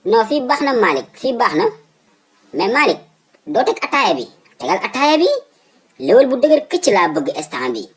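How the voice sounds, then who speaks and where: A man's voice: sits high, sing-song, moderate pace, long pauses, slight background noise. A man voicing a fussy, stubborn old father in a comic cartoon, with a Senegalese accent, exclaiming and repeating his words emphatically. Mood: cheerful, bossy.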